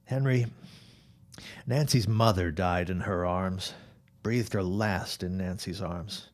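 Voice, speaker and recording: A protective father and loyal neighbor, played by a man in a period audio drama. His voice sits low, and he comes across as resolute, a man set on guarding his own and warning his friend. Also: slow. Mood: troubled, concerned.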